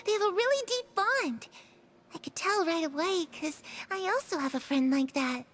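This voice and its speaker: A woman voicing a gentle, earnest ghostly young girl in a video game who sometimes sinks into an eerie whisper. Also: very high voice, animated, normal speed, slight background noise.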